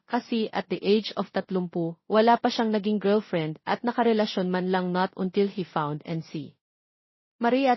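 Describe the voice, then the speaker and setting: A woman's voice: mid-range voice, dry recording. A woman with a Filipino accent reading a scripted romance story as an audiobook narrator. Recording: dull lo-fi audio.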